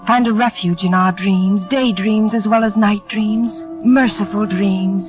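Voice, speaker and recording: Lady doing shy plain girl in an old radio drama, gentle sincere voice, muffled vintage sound w/ music. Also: mid-range voice, natural voice. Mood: hurt, wistful. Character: shy, self-conscious plain young woman, gentle and sincere. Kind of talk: 1940s radio drama acting.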